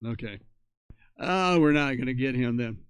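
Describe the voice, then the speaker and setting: A man's voice: medium pitch, expressive, moderate pace, clear, resonant tone, no room sound. An American man hosting an amateur radio net, addressing check-ins and spelling call signs out phonetically.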